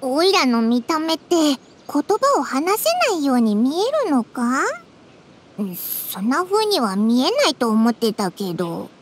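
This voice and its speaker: A woman voicing a small, childlike, cheeky fairy companion in an anime game, with a squeaky voice and blunt, exclamatory delivery. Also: sing-song, a little slow, breathy edge, heavily acted. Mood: baffled, grumbling. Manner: blunt, exclamatory, boyish informal speech.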